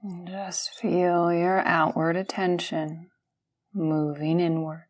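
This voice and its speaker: A woman guiding a yoga nidra meditation, speaking gently and soothingly in calm, unhurried instructions with pauses between phrases. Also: fairly low pitch. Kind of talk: guided meditation.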